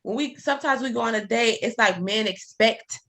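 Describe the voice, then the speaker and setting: Bright-voiced woman host, around thirty. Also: a little high. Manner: chatty, many "like" fillers, quick laughs. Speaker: podcast host. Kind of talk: casual chat.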